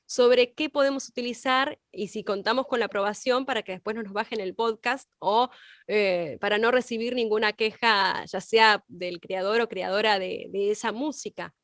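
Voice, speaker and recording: A woman's voice: mid-range voice, moderate pace, fairly bright tone, no echo, clean studio sound. A woman with an Argentine accent giving a conversational class, explaining and checking in with short questions. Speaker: radio and podcast workshop instructor.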